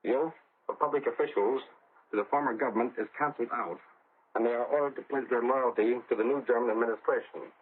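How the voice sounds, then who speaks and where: A man giving a scripted 1940s radio news report as a correspondent, measured and careful to make figures clear. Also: medium pitch. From United States. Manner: measured, repeats figures for clarity. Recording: crackly, phone-like 1940 shortwave radio relay.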